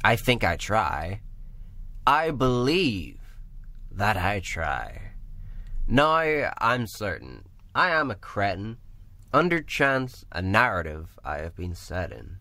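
Slow, low-voiced male spoken word poet. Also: very animated, pushed and bright, no echo. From Northern Ireland. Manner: measured rhythmic recitation with rhymes. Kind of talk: spoken word poetry performance. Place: small room or pub.

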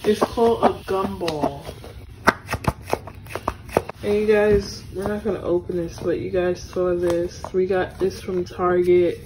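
A woman's voice: fairly low pitch, steady tone, measured pace. A woman chatting casually as she hosts a toy haul vlog, recorded indoors at home. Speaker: co-host aunt showing toys. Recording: clear background household noise.

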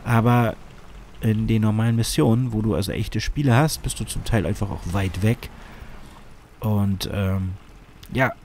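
A man's voice: on the low side, a little slow, a little mellow, a little hiss or hum. A man with a cold and a sniffle, rambling with many fillers while commenting on a game he is playing. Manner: rambling, hesitant, lots of fillers. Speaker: gaming YouTuber. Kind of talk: casual let's-play commentary.

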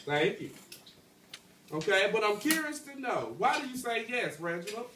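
A man's voice: high voice, very animated, moderate pace. Male teacher in an echoey, distant classroom. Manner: checking in with frequent "okay" and "right?". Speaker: elementary school teacher reading aloud to class. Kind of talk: storybook read-aloud with questions to pupils. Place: school classroom, indoors. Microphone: handheld phone among the pupils, several metres away. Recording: echoey, noisy classroom, recorded from far off.

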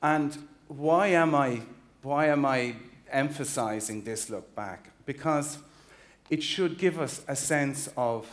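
A man's voice: mid-range voice, slow. Irish man giving an emphatic keynote. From Ireland. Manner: earnest, emphatic, with frequent restarts. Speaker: chief executive giving a keynote. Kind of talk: conference keynote speech. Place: large convention hall stage. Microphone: stage mic, walking the stage with a slide clicker.

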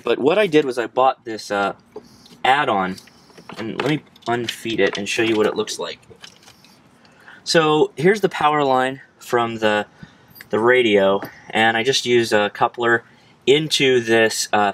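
Low-voiced young American man explaining. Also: slight background noise. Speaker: how-to presenter. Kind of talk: casual instructional walkthrough. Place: in and beside a parked car outdoors.

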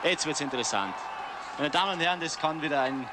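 A man's voice: a little high, expressive, fast, crowd noise. A man with an Austrian accent giving colloquial expert analysis during live ski flying commentary. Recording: noisy outdoor sports broadcast.

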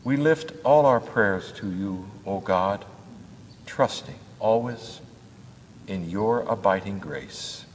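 An older man, a pastor, leading prayers in a church in a slow, measured liturgical cadence. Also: fairly low pitch, slight background noise. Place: church sanctuary at a lectern. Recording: church hall with long reverb.

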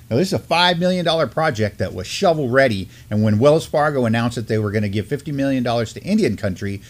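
A middle-aged man co-hosting a news show, reading and discussing articles in an informal, halting way. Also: fairly low pitch. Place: indoor studio at a desk.

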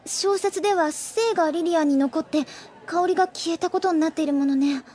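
A quick-witted schoolgirl in an anime audio drama. Her voice is extremely high, and she works through her deductions with earnest excitement. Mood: thoughtful, eager.

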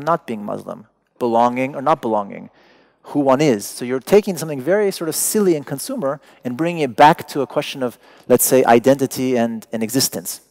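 Man, native Persian speaker, public lecturer, gravelly fry, mid-pitched, deliberate emphasis, conversational delivery, on stage. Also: a little mellow. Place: large dim hall with stage and seated audience.

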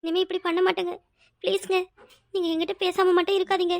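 A woman's voice: very high voice, steady tone, fast talker, bright, forceful tone. A woman playing an emotional, stubborn young wife in a domestic drama, pleading and pouting in a plaintive, insistent way. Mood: tearful pleading. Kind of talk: domestic serial drama acting.

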